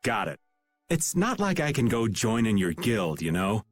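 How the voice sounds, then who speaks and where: A man voicing a laid-back, wry middle-aged rogue in an animated role-playing video game. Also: lowish voice, moderate pace. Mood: wry, casual. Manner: casual, wry, refers to himself by name. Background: faint background music.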